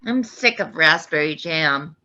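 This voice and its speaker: Lady doing whiny dramatic hobbit boy, high n sharp, bit muffled. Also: lively intonation, measured pace, exaggerated voice. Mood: whiny, fed up. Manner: complaining, melodramatic. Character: whiny, theatrical young male hobbit. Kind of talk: table read of a fantasy script. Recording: muffled, dull microphone.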